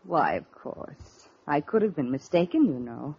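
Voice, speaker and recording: An actress playing a suspicious, strong-willed American heiress, crisp and pointed in a 1940s radio mystery style. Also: female voice, sits low, sing-song, a little slow, darkish tone, full character voice. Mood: coolly polite. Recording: muffled, noisy vintage radio recording.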